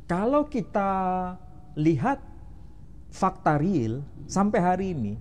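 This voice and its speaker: A man's voice: high voice, big pitch swings, slow pace, darkish tone, slight background noise. A man, an Islamic teacher, explaining religion in an interview and quizzing the interviewer with rhetorical questions.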